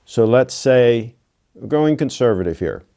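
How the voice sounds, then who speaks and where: A man's voice: mid-range voice, lively intonation, measured pace, warm tone. A man of sixty hosting his own channel, lecturing bluntly in a casual monologue with folksy asides. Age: 60s. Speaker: watch channel host.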